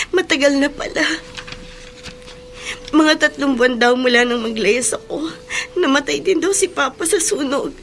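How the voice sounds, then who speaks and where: Radio drama: wounded, resentful young Filipina, high voice, emotional delivery. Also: female voice, fairly bright tone. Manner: emphatic, emotional. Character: bitter, wounded young woman, openly resentful.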